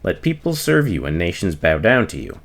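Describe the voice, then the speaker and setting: Man reading scripture aloud, lively pitch. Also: moderate pace, gravelly. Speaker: reader.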